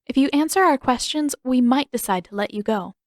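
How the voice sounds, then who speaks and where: A woman's voice: high voice, animated, a little fast, no room sound, studio quality, pitched above the performer's own voice. A woman voicing a stern young female warrior leader in an audiobook story, firm, clipped and authoritative. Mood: cool, stern. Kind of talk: audiobook character dialogue.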